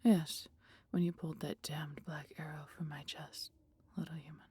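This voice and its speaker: A woman voicing a caring, protective female dragon in an intimate, breathy ASMR roleplay, with gently formal, old-fashioned speech. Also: deep voice, little pitch movement, moderate pace, dry recording. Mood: reflective. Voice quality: breathy, whispery.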